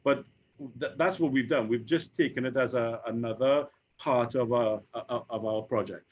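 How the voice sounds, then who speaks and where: Trini guy in his 50s, stumbly repeats and lots of ums, mid voice, muffled lo-fi audio. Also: medium pitch. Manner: halting, repeats words, many fillers. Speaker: insurance operations executive, panel guest. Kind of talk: conversational panel discussion. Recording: dull lo-fi recording.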